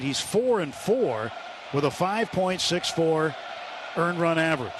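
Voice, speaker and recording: A man doing live radio play-by-play of a baseball game, measured and descriptive, filling gaps between pitches with statistics. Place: stadium broadcast booth. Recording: noisy ballpark radio broadcast. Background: loud ballpark ambience.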